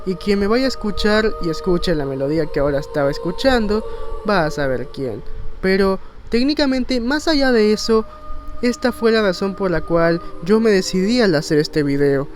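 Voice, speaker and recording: A man's voice: high-pitched, a little mellow, slight background noise. A man narrating a video essay with personal opinions, in an emphatic, rhetorical way with dramatic stress on key words. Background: faint music.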